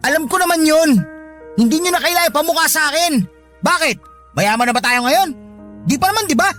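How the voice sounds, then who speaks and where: Shrill, insolent grown-up brat. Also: female voice, animated, fairly quick, a bit bright, soft background music. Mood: defensive, resentful. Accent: Filipino. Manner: argumentative, rude, demanding. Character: insolent, lazy adult child bullying their elderly mother. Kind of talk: Filipino radio drama acting.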